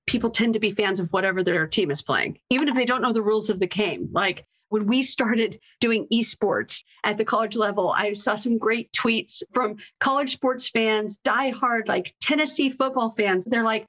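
A woman's voice: a little high, moderate pace, hardly any pauses, a bit bright, highs cut off. A woman answering conversationally as a podcast interview guest. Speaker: podcast guest, marketing executive.

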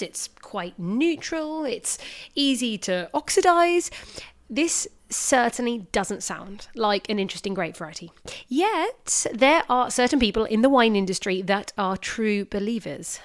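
A woman hosting a wine podcast, reading her intro with lively enthusiasm, then interviewing her guest. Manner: enthusiastic, expressive delivery with swooping pitch. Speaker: podcast host.

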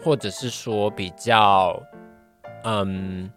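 A man's voice: fairly low pitch, steady tone, very slow, fairly bright tone, soft background music. A young man with a Taiwanese Mandarin accent, chatting casually as an interview guest in a hesitant, modest way. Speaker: podcast guest, trainee voice actor.

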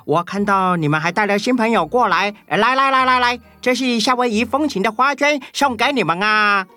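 一位男性在儿童故事里扮演殷勤热情、好客的饭店婚礼管家。. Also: male voice, high voice, moderate pace, pushed and bright, no room sound. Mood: effusive welcome. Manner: effusive, welcoming. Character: eager, hospitable hotel wedding butler. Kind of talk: children's audio story acting.

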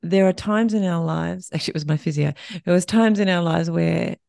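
A woman's voice: lowish voice, a little fast, creaky, darkish tone, no echo, studio quality. An Australian woman hosting a podcast interview, chatty and laughing often. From Tasmania. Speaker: podcast host. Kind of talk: casual interview chat.

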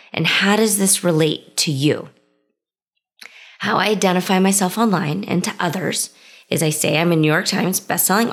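A woman's voice: fairly low pitch, creaky. A woman hosting her own podcast, talking through ideas in a conversational, reflective way and starting many sentences with "so". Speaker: podcast host. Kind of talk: solo podcast monologue.

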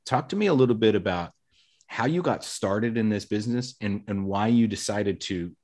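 Low-voiced talkative male podcast host. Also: moderate pace, a little mellow. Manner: talkative, upbeat, self-deprecating jokes. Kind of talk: casual podcast interview.

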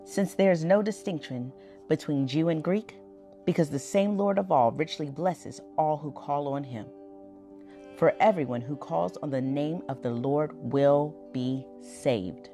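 A woman reading Bible scripture aloud for a podcast in a measured, solemn manner. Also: deep voice, warm tone, soft background music.

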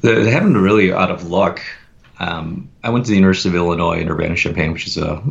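A man telling his story as a podcast guest in a relaxed, reflective way, pausing often with fillers. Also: low-pitched, vocal fry, dull audio. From United States. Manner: reflective, meandering with many fillers. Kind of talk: casual conversational interview.

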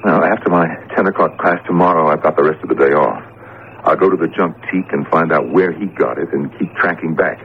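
Male professor, radio drama: excitable, anxious, low with creaky edges, noisy broadcast. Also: brisk, phone-quality sound. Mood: uneasy, conspiratorial. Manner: hesitant, exclaiming, animated. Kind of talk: 1970s radio drama acting.

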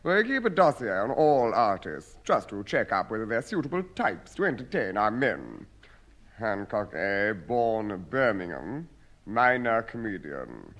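An actor playing a brisk, dismissive English army captain in a 1950s British radio sitcom, with a clipped, officious manner. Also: male voice, lowish voice, big pitch swings, a bit bright, heavily acted. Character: brisk, dismissive male army captain running forces entertainment. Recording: noisy old radio recording, studio audience.

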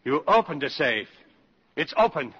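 An actor playing a stiff, nervous, pompous German embassy attaché in a radio drama, formal and clipped. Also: male voice, a little high, big pitch swings, moderate pace, breathy edge. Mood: stiff, flustered. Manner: clipped, formal, anxious, subservient to superiors. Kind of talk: 1950s radio drama acting. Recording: muffled old radio broadcast recording.

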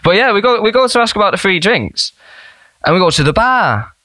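An excitable young man from tells a rambling story, his fairly high, bright voice leaping up and down. The sound is close and dry, with no room around it. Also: a little fast, dry recording. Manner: animated, rambling storyteller with laughs. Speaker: band member guest. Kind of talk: casual storytelling chat.

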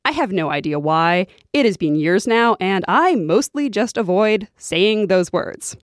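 A woman's voice: medium pitch, expressive, a little slow, no echo, clean studio sound. A woman hosting a podcast, telling stories in conversational, scripted narration. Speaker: podcast host.

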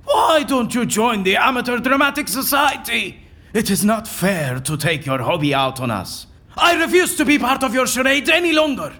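Pompous, theatrical eccentric with swooping pitch. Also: male voice, normal speed, full character voice. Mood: indignant shouting. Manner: florid, pompous, animated. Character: eccentric, theatrical, erudite man, convinced his interrogation is staged. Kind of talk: audio drama acting.

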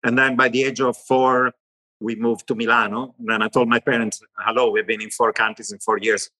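A middle-aged economist with an Italian accent, answering interview questions in long animated lists. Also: male voice, mid-range voice, fairly quick, poor audio. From Italy. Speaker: economist guest.

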